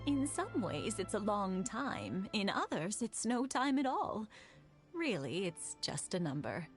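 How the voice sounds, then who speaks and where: An actress playing a fond elderly wife in a video game, reflective and gently teasing. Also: female voice, medium pitch, very animated, soft background music. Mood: fond, wistful. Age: older. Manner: unhurried, reflective.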